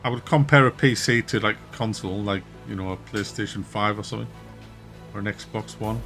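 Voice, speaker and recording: A man with a Wearside Mackem accent from near Durham, casually chatting with viewers during a game stream, relaxed and chatty, often laughing and trailing off. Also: fairly low pitch, fairly quick, faint music behind. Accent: Wearside (Mackem) North East English. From North East England, near Durham. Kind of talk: casual live-stream chat reading viewer comments.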